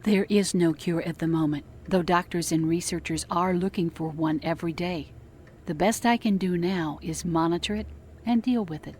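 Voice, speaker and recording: Lowish-voiced, hospitable farmer's wife played by a woman. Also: moderate pace, darkish tone, slight background noise, natural voice. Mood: hospitable, matter-of-fact. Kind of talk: audio drama acting.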